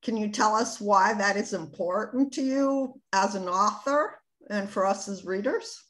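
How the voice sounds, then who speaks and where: A woman's voice: medium pitch, a little slow, fairly bright tone. A woman interviewer putting questions to a guest in a conversational style.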